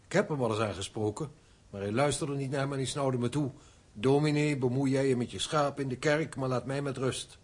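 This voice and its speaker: A man playing an older clergyman, grave, kindly and measured, moralizing with concern in a radio play. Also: medium pitch, fairly even intonation, breathy edge, close to an everyday voice. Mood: disapproving.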